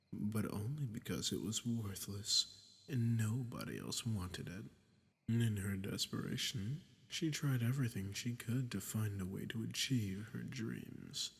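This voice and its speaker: Male actor as archdemon, smug, menacing, condescending, very slow delivery, breathy murmurs, cavernous reverb, fantasy audio roleplay. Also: lowish voice. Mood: cold storytelling. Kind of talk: scripted audio roleplay addressed to the listener.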